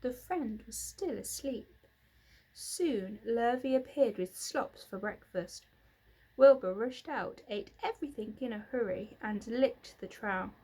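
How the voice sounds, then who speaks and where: A woman's voice: fairly high pitch, expressive, slow pace, dark tone, husky, low-quality recording. A woman narrating a children's book expressively, like a teacher reading aloud. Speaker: reader, teacher.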